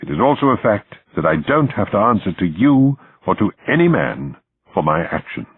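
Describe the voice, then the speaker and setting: Man playing a deep, defiant adventurer, declaiming. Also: sing-song, normal speed, husky. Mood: indignant. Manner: declamatory, insistent repetition. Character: defiant, indignant adventurer man justifying himself. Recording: muffled, phone-like, roomy audio.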